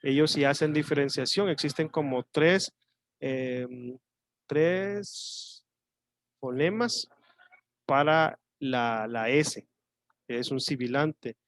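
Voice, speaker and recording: A male teacher from Mexico with a coastal Mexican Spanish accent, explaining a lesson slowly and thinking aloud with fillers and pauses. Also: medium pitch, no room sound. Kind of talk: online class lecture.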